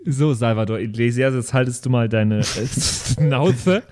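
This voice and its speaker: A man running a tabletop role-play podcast as game master, teasing his players in quick banter. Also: medium pitch, a little mellow.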